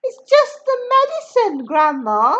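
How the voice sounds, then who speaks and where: Woman voicing a bright coaxing boy, high and bouncy, storybook reading. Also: wildly swooping pitch, a little slow, exaggerated voice. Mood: eager coaxing. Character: cheeky excited young boy. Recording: dull lo-fi audio.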